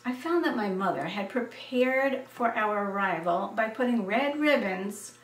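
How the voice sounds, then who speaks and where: An American woman in her seventies reading a scripted personal story in an expressive, deliberate way. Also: medium pitch. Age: 70s. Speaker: essayist reading her memoir. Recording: roomy, distant microphone.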